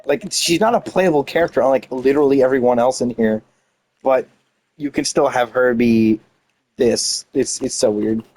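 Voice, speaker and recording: A man chats casually through a video game playthrough in a mid-range voice. He rambles playfully, thinking aloud about what is on screen. Game music plays clearly behind him. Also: mid-range voice, moderate pace, darkish tone, low-quality recording. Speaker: gameplay streamer narrating a playthrough. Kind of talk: casual gaming commentary.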